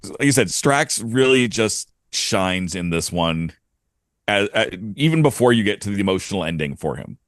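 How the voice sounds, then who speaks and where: Lively low-voiced man on a podcast. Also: moderate pace, dry recording, clean studio sound. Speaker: podcast panelist. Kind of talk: casual review chat.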